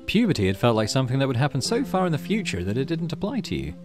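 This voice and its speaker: A middle-aged man hosting a let's play, reading game text aloud and thinking out loud as he plays. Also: mid-range voice, huge pitch swings, racing, over music. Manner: thinks aloud while playing.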